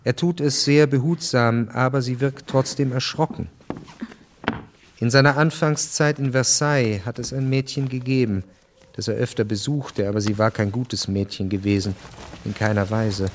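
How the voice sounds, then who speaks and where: A man reading scripted literary narration as the narrator of a radio drama, measured and detached. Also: medium pitch, steady tone, slight background noise.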